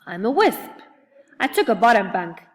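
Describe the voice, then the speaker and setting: A woman's voice: mid-range voice, very animated, moderate pace, in a large hall, close to an everyday voice. A woman voicing a wistful, laid-back young fairy boy in a storybook, casual and mild. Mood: casual, sheepish.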